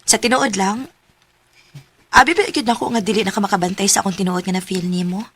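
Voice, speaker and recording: A woman's voice: mid-range voice, fairly even intonation, a little fast, husky. A woman playing a tender young woman in love. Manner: warm, sincere, lightly laughing.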